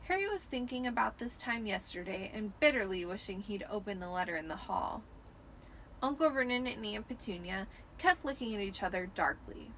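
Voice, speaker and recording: A woman's voice: mid-range voice, animated, normal speed, fairly bright tone, a little hiss or hum, rough recording. A tipsy woman giving a dramatic amateur audiobook narration. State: tipsy from wine.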